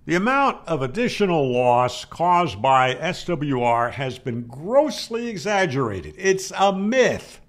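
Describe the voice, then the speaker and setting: Older guy explaining stuff to camera, slow pace, slightly high voice, emphatic, asks then answers himself. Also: sing-song. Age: older, 60s or 70s. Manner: emphatic, rhetorical questions and firm answers. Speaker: ham radio explainer, video host. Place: small home room, indoors. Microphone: close studio mic on boom arm.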